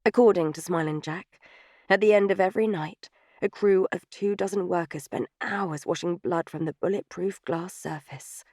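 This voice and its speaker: Female audiobook narrator: slightly low voice, scripted storytelling, close and dry studio sound. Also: moderate pace, a little mellow, dry recording. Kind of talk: scripted third-person fiction narration.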